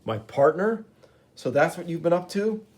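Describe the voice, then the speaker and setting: A man's voice: a little high, lively intonation, normal speed, darkish tone, husky, pitched above the performer's own voice. A man doing a wisecracking young superhero. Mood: wry. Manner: breezy, sarcastic quips.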